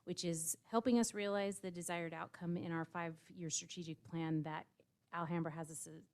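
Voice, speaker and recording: A woman's voice: on the low side, steady tone, moderate pace, a little mellow. A woman giving an upbeat staff report at a school board meeting, with fillers and a light laugh now and then. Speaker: district staff presenter.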